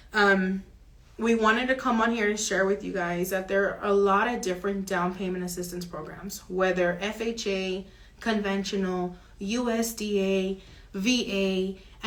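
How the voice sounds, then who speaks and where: A woman mortgage broker explaining home financing options in an earnest, steady advisory chat. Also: mid-range voice.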